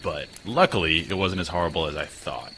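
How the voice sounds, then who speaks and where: Low-voiced man chatting on a stream. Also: fairly quick, pushed and bright. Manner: chatty, self-deprecating, talks to chat. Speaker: gaming streamer. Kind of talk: casual live-stream chat. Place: small spare room at home. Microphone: desk mic. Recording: slightly tinny home stream mic, faint noise.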